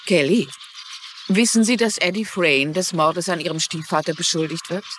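Eine Schauspielerin spielt in einem synchronisierten TV-Drama eine gefasste, wissbegierige ältere Frau, die bedacht und deutlich spricht. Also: female voice, on the low side, moderate pace, a bit bright, slight background noise, close to an everyday voice. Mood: grave, measured. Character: composed, inquisitive older woman, a former teacher turned sleuth. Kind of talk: dubbed TV drama dialogue in German.

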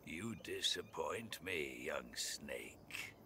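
A man playing an ancient, solemn sniper in a video game, speaking in a breathy, frail, portentous old voice. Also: extremely low pitch, lively intonation, drawn-out words, slight background noise, full character voice. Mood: hushed reproach. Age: older. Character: ancient, solemn, slow old sniper awaiting his last hunt. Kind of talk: video game cutscene acting. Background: loud music.

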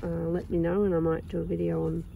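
A young woman with a croaky sore throat, chatting casually and rambling with lots of ums while filming her garden outdoors on a phone. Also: lowish voice, little pitch movement, fast talker, phone-quality sound, a little hiss or hum. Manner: rambling, chatty, with many ums and soft laughs. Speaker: gardening vlogger. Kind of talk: casual chat.

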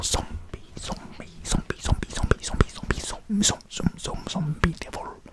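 A young man hosts ASMR in a breathy whisper right up on the mic. He takes his time, repeating words deliberately at a slow pace, with hardly any voiced tone at all. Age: 20s. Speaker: ASMR video host. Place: indoor room in front of green screen. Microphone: large foam-covered microphone, very close.

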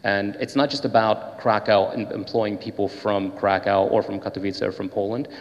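A man's voice: lowish voice, steady tone, moderate pace, highs cut off. A man in his thirties or forties speaking off the cuff as a panelist into a handheld microphone. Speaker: panelist, tech executive. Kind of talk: unscripted panel remarks. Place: panel table in brick-walled indoor hall. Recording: echoey hall.

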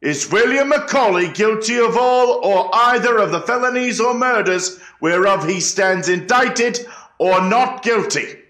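Man as a high-pitched, ceremonial colonial court clerk. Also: expressive, unhurried, pushed and bright, heavily acted. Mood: formal. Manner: formal, ritual, declamatory. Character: formal eighteenth-century court clerk taking jury verdicts. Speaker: actor in a historical trial reenactment. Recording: echoey room.

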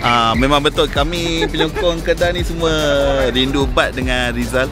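A man's voice: a little high, moderate pace. A man with a Malaysian accent chatting casually outdoors as an interviewed football supporter. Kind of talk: casual chat. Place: outdoors by a stadium car park. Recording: loud music over outdoor audio.

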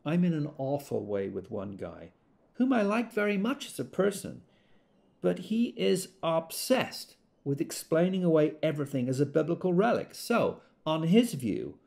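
An actor playing an earnest, exasperated government geologist who explains things conversationally in an audio drama monologue. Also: male voice, a little high, creaky, a little mellow.

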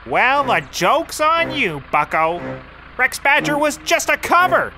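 A man's voice: very high voice, very animated, takes it slowly, noisy background, heavily acted. An actor playing an eccentric, rambling male detective in an audio comedy, erratic in delivery. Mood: cocky. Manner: erratic, swinging from muttering to outbursts. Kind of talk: scripted comedy audio drama.

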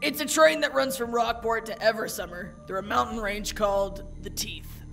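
Man doing a high, creaky, blunt officer. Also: normal speed, a bit bright, faint music behind. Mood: businesslike.

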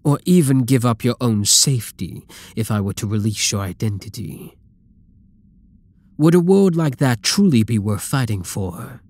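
A man playing a dominant, obsessive supervillain in an ASMR roleplay, breathy and husky, speaking with slow, possessive menace. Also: medium pitch, animated, a little mellow, close to an everyday voice. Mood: menacing. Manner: slow, menacing, possessive, often dropping to a whisper. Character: dominant, obsessive male supervillain taunting captured hero. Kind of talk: ASMR roleplay, scripted dramatic monologue.

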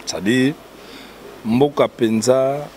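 A man's voice: mid-range voice, steady tone, slow pace, darkish tone, a little hiss or hum. A man in his fifties from Kinshasa with a Congolese French accent, a pastor explaining at length in an interview in a church hall. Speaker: pastor and artist guest. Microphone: handheld mic held by host.

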